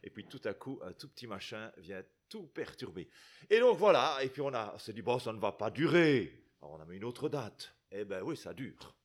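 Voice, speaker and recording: Chatty Belgian preacher, lively pitch. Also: male voice, moderate pace. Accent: Belgian French. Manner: chatty, full of tag questions and restarts. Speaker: guest preacher. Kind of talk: sermon to a congregation.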